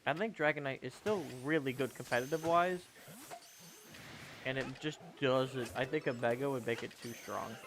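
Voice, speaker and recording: A man's voice: medium pitch, normal speed, background noise. Young man chatting on a game stream. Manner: rambling, self-correcting, answering chat. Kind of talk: casual gaming livestream chat.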